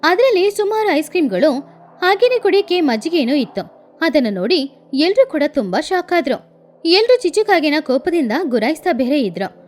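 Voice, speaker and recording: A woman's voice: sits high, big pitch swings, normal speed, a little mellow. A woman reading scripted narration for a children's story. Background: background music.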